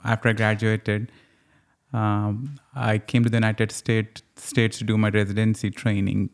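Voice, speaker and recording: Male podcast guest, cardiologist, Indian accent, lowish pitch, informal storytelling delivery. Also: level tone, a little slow. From South India. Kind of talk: casual conversational storytelling.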